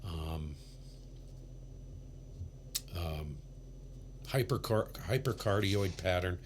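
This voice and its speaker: Deep-voiced man on a ham radio livestream. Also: very slow, slight background noise. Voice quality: low voice. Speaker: livestream host, amateur radio operator. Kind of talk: casual livestream chat and radio callsigns. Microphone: big studio condenser.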